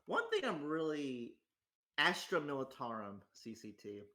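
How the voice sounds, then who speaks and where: A man's voice: fairly high pitch, wildly swooping pitch, unhurried, pushed and bright. A man in his twenties talking in a rambling, self-deprecating casual chat at home, with lots of restarts and fillers. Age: 20s. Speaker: host. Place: home in a rural area.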